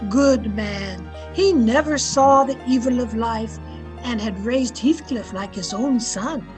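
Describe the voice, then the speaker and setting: A woman's voice: on the high side, takes it slowly, music in the background. A woman playing a storyteller in an old-time radio drama, reflective and dramatic as she recalls a family's past. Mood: wistful.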